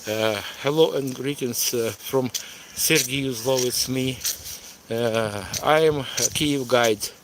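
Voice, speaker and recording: Slow, halting man. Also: mid-range voice, slight background noise, rough recording. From eastern Ukraine. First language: Russian. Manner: halting, searching for words in a second language. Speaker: tour guide and live stream host. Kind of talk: live stream Q&A.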